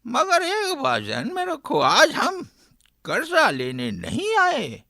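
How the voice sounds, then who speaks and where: A woman's voice: high voice, huge pitch swings, slow pace, vocal fry, bright, forceful tone, dry recording, studio quality, exaggerated voice. A woman playing an oily, mocking old moneylender father in a radio drama, with sing-song wheedling and chuckles. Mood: smug.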